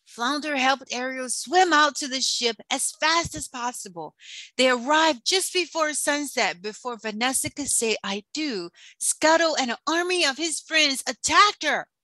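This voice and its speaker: A woman narrating a scripted children's storybook in a deliberate read-aloud manner. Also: high voice, bright, forceful tone, dry recording, low-quality recording.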